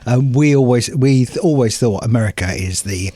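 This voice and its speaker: A middle-aged British man chats casually as a podcast co-host, reminiscing. His mid-pitched voice is recorded very dry, with no room around it. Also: moderate pace, dark tone, no echo. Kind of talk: casual nostalgic chat.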